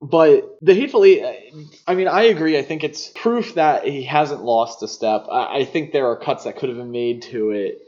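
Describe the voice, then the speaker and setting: Male podcast host, mid-pitched voice, casual and opinionated film chat, slightly dull lo-fi recording. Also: normal speed, darkish tone.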